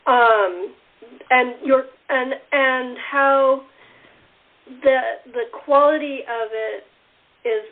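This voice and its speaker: A woman hosting a casual cast interview, speaking informally and haltingly as she rephrases her questions. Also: high voice. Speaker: interviewer and fellow cast member. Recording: tinny, phone-like narrow band.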